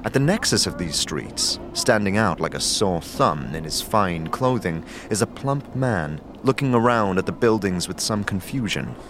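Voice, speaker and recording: A man's voice: low-pitched, animated, normal speed, few pauses, background noise. A man as game master, narrating the scene descriptively in second person. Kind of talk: scripted narration. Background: background music.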